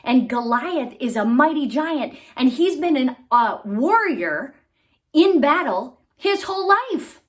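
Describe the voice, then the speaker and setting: Doubtful older king, fretful and pitched high, voiced by a woman storyteller. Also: sing-song, slow, exaggerated voice. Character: worried older male king.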